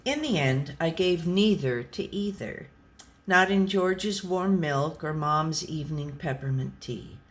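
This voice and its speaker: Slow, deadpan storybook pet read by a woman. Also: deep voice. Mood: resigned. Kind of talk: scripted storybook narration.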